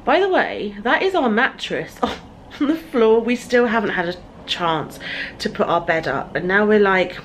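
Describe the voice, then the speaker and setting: A woman's voice: medium pitch, big pitch swings, unhurried, slight background noise. A tired woman, chatting casually to a handheld camera about her busy day and listing errands. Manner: chatty, breathless lists of errands. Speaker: vlogger. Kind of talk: casual vlog chat to camera. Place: bedroom at home, later a parked car. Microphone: handheld compact camera at arm's length.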